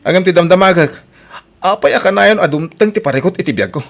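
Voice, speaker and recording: Troubled drinking husband, animated. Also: male voice, high-pitched, fast, creaky. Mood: agitated complaining. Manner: emotional, complaining. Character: troubled, self-pitying hard-drinking husband and son. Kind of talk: Ilocano radio-style drama acting.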